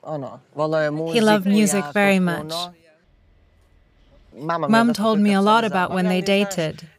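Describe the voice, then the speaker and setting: A woman speaks slowly and gently, with a soft, consoling manner. The chatter of a crowd can be heard clearly behind her. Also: mid-range voice. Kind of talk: casual conversation.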